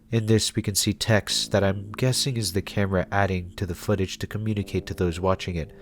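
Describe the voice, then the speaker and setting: A man narrating a scripted video essay in a measured, conversational, explanatory way, as the host of a review series. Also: low-pitched, a little hiss or hum. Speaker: host of a web series reviewing analog horror.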